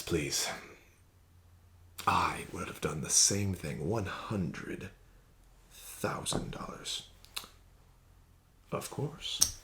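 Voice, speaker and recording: A man doing an ASMR roleplay as a casino card dealer, talking slowly and softly with smug, teasing patter that slips into whispers. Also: deep voice, gravelly. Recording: audible background noise.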